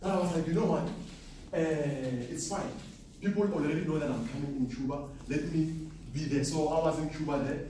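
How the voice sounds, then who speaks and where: A young man from South Africa giving an animated, boastful trading seminar to students, often laughing at his own lines. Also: a little high, brisk, slight background noise. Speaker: forex trading speaker. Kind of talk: live seminar talk to students. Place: classroom with seated audience. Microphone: far camera, no mic. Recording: echoey classroom from far off.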